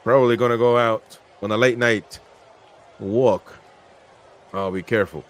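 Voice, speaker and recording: A man's voice: mid-range voice, steady tone, unhurried, slight background noise. A man from Peru hosting a casual live football stream, chatting about the match and reading viewer comments aloud.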